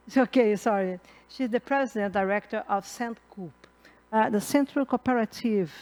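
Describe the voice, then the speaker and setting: Brazilian woman moderating, halting English. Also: on the high side, a little mellow. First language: Portuguese. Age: middle-aged. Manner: halting, many fillers and restarts, laughs at own slips. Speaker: panel moderator. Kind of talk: conference moderation. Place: conference hall.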